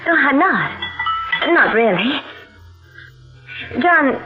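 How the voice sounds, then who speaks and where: An actress playing an anxious, devoted wife in a 1940s radio drama, earnest, breathless and faltering. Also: female voice, high voice, big pitch swings, very slow, heavily acted. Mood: anxious, probing. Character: anxious, devoted young wife hiding her fear. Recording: muffled old radio recording with music.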